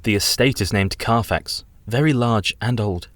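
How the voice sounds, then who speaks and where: Radio play lead: courteous young solicitor, earnest and precise, lowish voice, dry close sound. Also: male voice, measured pace, a bit bright, no room sound. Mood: polite, businesslike. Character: earnest, polite young English male solicitor.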